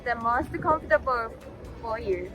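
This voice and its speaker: A woman's voice: fairly high pitch, expressive, unhurried, sharp tone, soft background music. Japanese woman hosting a casual interview. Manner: short friendly prompts and questions. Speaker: host.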